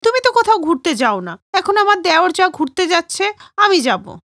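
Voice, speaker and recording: A woman's voice: very high voice, sing-song, normal speed, a bit bright, no room sound, studio quality, pitched above the performer's own voice, heavily acted. A woman voicing a motherly but clingy and possessive elder sister-in-law in a cartoon story, chatty and insistent. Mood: eager, insistent.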